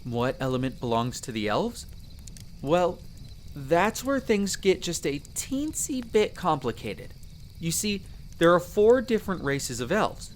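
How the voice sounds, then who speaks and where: A man's voice: mid-range voice, a little hiss or hum. A man playing a friendly, chatty elf explorer in a roleplay audio drama, explaining things in an easygoing, conversational way to the listener. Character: friendly chatty male elf explorer, eager magic mentor.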